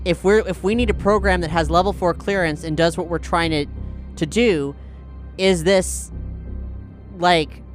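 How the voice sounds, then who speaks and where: A man with a fairly high voice plays in a tabletop role-playing session. He speaks casually in character, often repeating himself as he thinks aloud, over faint music. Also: steady tone, a little slow.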